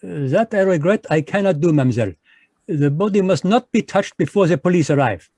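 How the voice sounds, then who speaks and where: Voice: medium pitch, lively intonation, brisk, mellow, no echo. Performer playing a fussy Belgian French-accented male detective, courtly, hesitant, dry close sound. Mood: probing. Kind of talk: live radio drama reading. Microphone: video call.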